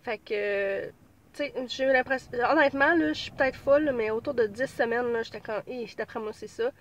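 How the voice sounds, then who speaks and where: A woman's voice: fairly high pitch, brisk. A woman in her thirties with a Québécois French accent talks casually from inside her car. She has a cold and sounds a little stuffy. She rambles and restarts, with plenty of fillers. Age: 30s. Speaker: pregnancy vlogger. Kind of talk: casual vlog chat.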